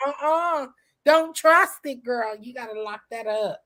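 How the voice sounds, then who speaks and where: A sassy woman caller chatting on a livestream and handing out advice. Her voice is high and at times a little hoarse. She speaks slowly and drawls her words. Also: a bit bright. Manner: sassy, advising, calls everyone girl. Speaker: guest caller. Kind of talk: casual livestream chat.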